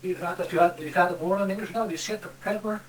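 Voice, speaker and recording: Older Cornish man, higher-pitched voice, reminiscing. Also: fairly even intonation, quick pace, slight background noise. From Cornwall, England. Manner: rambling storytelling, frequent "see" and "you know". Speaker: interviewee, local dialect speaker. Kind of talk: casual reminiscing.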